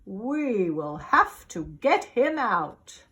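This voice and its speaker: Woman playing a calm storybook policeman, voice dropped low, slow and plain. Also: exaggerated voice. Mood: matter-of-fact. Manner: lower, measured and matter-of-fact. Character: calm, steady adult male policeman.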